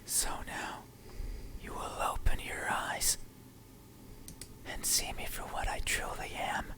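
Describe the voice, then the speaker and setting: A performer voicing a creepy, obsessive supernatural entity in a slow, deliberate, breathy whisper for a horror ASMR game. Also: bright, forceful tone. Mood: menacing.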